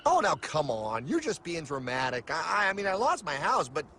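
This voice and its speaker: High-pitched, excitable cartoon everyman. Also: a little fast. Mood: bewildered. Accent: American. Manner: excitable, protesting, stammering. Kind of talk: animated explainer cartoon acting. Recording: music bed behind the voice.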